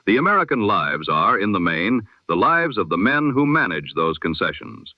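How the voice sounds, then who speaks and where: A man's voice: deep voice, sing-song, no echo, lo-fi sound. Solemn man reading old-time radio news. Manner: measured, solemn, formal. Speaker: newsreader.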